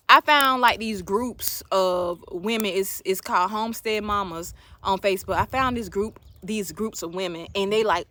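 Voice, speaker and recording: A woman's voice: medium pitch, pushed and bright, no echo. A woman in her late thirties talking casually to her viewers, sharing opinions emphatically and repeating phrases for emphasis. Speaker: livestream host.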